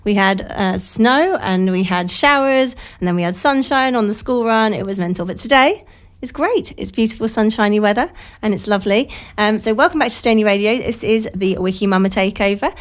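Croaky British woman hosting radio. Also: mid-range voice, fairly quick. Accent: British English. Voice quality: croaky, slightly nasal from a blocked nose. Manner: informal, self-deprecating, rambling anecdotes. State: has a cold. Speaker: radio show host. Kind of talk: casual chatty radio monologue. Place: radio studio.

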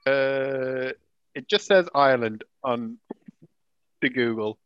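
A man's voice: medium pitch, takes it slowly, smooth, no echo, highs cut off. A man co-hosting a podcast, bantering in a jokey way and swearing casually. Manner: jokey, swears, laughs often. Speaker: podcast co-host.

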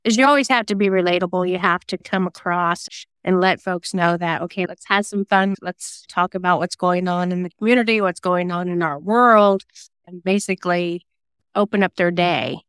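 A woman's voice: fairly low pitch, moderate pace, a bit bright, clear, resonant tone, no room sound. A woman giving reflective, earnest answers as a guest in a conversational interview. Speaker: guest, singer-songwriter and novelist.

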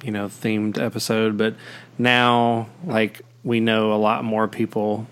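A man's voice: on the low side, little pitch movement, takes it slowly, smooth. A man hosting a podcast in casual chat, rambling with many fillers and restarts. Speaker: podcast host.

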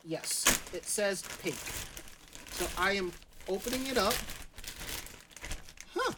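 A man's voice: high-pitched, takes it slowly, heavy background noise. A man with a New York accent hosting a casual live stream chat, telling stories and acting out his own reactions. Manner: animated, quotes himself in asides. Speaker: stream host.

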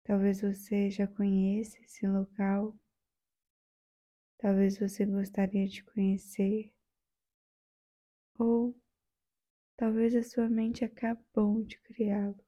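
A woman with a Brazilian accent leading a guided meditation in a soft, soothing, gentle way, leaving long pauses. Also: mid-range voice, level tone, dull audio.

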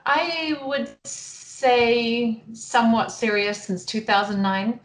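A woman's voice: a little high, slow pace, reverberant, low-quality recording. A woman in her thirties or forties with a New Zealand accent, a photographer chatting in a friendly way as a podcast guest. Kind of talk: casual interview chat.

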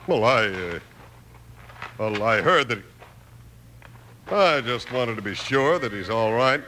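Crooked gambling boss, American, very bright forceful voice, defensive, prickly, vintage radio drama, light noise. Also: male voice, mid-range voice, lively intonation, slow pace. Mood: nervous, probing. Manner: hesitant, then belligerent and defensive. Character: shifty, touchy gambling-hall owner, male western heavy. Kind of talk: 1950s western radio acting. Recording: noisy old-time radio recording.

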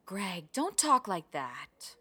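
Spacey middle-aged mom, radio play, slow halting talk, bright tense voice. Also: female voice, mid-range voice, sing-song, breathy edge, full character voice. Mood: distracted, flat. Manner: hesitant, vague. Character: flighty, distracted mother of grown children.

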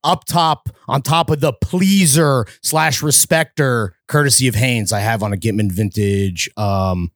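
A man's voice: mid-range voice, a little slow, few pauses, no room sound, studio quality. A man co-hosting a podcast, riffing in casual, profane banter. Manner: animated, profane, jokey riffing. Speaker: podcast co-host. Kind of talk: casual chat.

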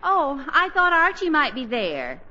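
A woman's voice: sits high, wildly swooping pitch, unhurried, exaggerated voice. A woman playing an anxious, fussing middle-aged wife and mother in 1940s radio comedy style, flustered but insistent. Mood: polite, flustered. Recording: noisy, dull 1940s radio broadcast.

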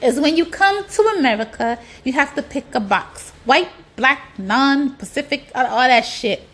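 A woman's voice: high voice, lively intonation, moderate pace, a bit bright. A woman delivering an opinionated, exasperated monologue in colloquial speech, with rhetorical questions and frequent swearing.

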